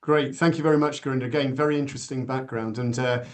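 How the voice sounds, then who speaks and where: A man moderating a business panel discussion, polite and a little halting with frequent ums. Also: medium pitch, steady tone.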